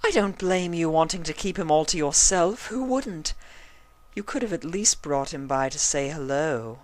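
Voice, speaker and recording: A woman's voice: sits low, expressive, normal speed, no echo, voice pushed up. A woman voicing an elegant, haughty female cartoon pony fashionista with affected, dramatic, refined diction. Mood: flirtatious.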